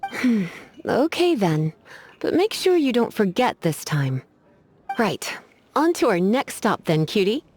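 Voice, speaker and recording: An actress playing a languid, teasing adult librarian mage who scolds with playful menace. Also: female voice, medium pitch, big pitch swings, slow, a little mellow. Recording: game cutscene with faint music behind.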